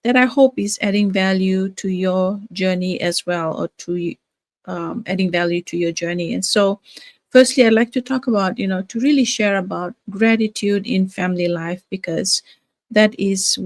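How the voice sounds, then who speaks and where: A woman's voice: medium pitch, normal speed, no room sound. An older woman from Fiji, a grandmother, hosting a casual live stream with many fillers and restarts. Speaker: live-stream host, nurse and business owner.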